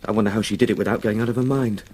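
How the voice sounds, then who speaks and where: An actor playing an earnest, questioning young English solicitor in a radio drama. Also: male voice, lowish voice, fairly even intonation, racing, a little mellow, natural voice. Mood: anxious, probing. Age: young adult. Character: young solicitor, earnest, shaken, insistently curious.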